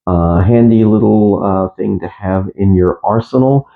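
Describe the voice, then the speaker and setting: A man giving a casual, unscripted gear review as a radio hobbyist, speaking in a halting, matter-of-fact way. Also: on the low side, creaky, phone-quality sound. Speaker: amateur radio hobbyist presenter.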